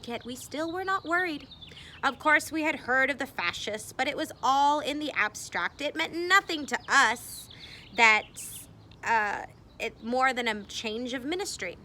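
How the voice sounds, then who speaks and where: A woman reading a memoir aloud expressively, with deliberate phrasing and dramatic emphasis. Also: sits high, sharp tone, a little hiss or hum. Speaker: reader.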